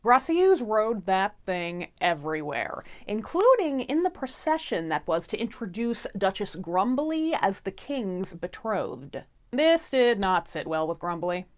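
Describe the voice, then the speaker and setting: Animated woman telling a sassy fairy tale. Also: mid-range voice, unhurried. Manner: theatrical, irreverent. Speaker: storyteller. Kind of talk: comic, casual, sassy fairy-tale narration.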